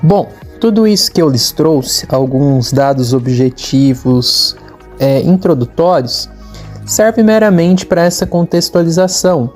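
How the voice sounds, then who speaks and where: A man's voice: fairly high pitch, animated, unhurried, darkish tone, soft background music. Young man with a caipira Paraná accent. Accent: northern Paraná caipira Portuguese. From Norte Pioneiro, Paraná, Brazil. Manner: hesitant, many fillers and restarts. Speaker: podcast host, history student. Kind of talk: informal spoken podcast narration.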